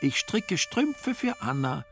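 Sly, shriveled old crone played by a male narrator, raised pitch, repetitive muttering for radio drama over music. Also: very animated, takes it slowly, fairly bright tone, full character voice. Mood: sly, gloating. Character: shriveled, sly, dirty old woman, the Future personified, knitting.

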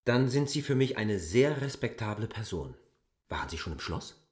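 An actor playing a direct, probing stranger at a village inn in a literary radio drama. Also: male voice, lowish voice, big pitch swings, normal speed, darkish tone.

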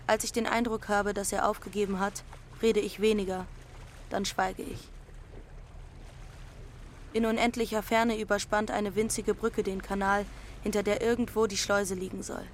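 A woman's voice: medium pitch, level tone, normal speed, long pauses, lightly acted. An actress playing a cheeky, dreamy teenage runaway girl in a radio play, pert and unabashed. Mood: reflective narration. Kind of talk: radio play acting and first-person narration. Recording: loud engine and water noise behind.

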